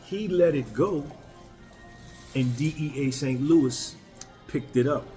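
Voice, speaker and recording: A man's voice: on the high side, takes it slowly, dark tone, soft background music. A man in his fifties, a YouTuber, talking candidly and bluntly to his viewers in a casual, emphatic way. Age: 50s. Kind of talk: casual talk to camera.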